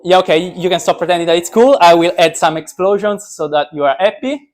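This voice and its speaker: A man's voice: sits high, a little fast, a little mellow. Joking young man giving an informal science talk. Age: young adult. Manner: joking, self-deprecating. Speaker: speaker, physics PhD student.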